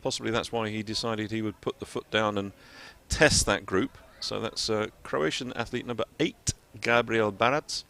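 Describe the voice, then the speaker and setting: A man commentating live on a junior triathlon race and interviewing a coach, in a measured, informative way with occasional fillers. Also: on the low side, steady tone, vocal fry, bright, forceful tone. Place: outdoors at a triathlon venue.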